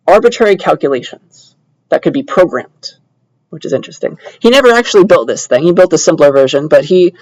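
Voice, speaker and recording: A man's voice: fairly high pitch, darkish tone, dry recording, poor audio. Casual male lecturer, dry close sound. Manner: casual, conversational, checks in with tags like "all right" and "yeah". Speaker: computer science lecturer. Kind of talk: informal introductory lecture to a class. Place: classroom.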